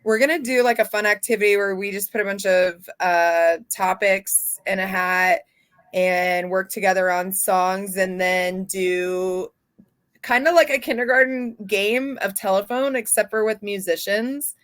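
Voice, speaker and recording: A radio host, a woman with a mid-range voice, talks in an easy, upbeat interview tone. She chats loosely and fills her sentences with small pauses and fillers. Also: medium pitch, fairly bright tone, no echo. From not from Texas. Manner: chatty, upbeat, uses many fillers. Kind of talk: casual interview chat.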